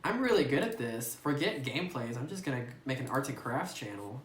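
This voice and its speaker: A woman's voice: extremely low pitch, big pitch swings, fairly quick. A woman casually chatting and giggling as she plays through a game, reading its text aloud, recorded on a phone. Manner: chatty, giggly, muses aloud while playing. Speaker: gaming YouTuber. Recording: roomy phone recording with faint hiss.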